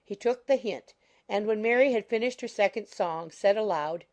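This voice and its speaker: A woman narrating an audiobook in a measured, formal storytelling manner. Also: medium pitch, a little mellow. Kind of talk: scripted literary narration.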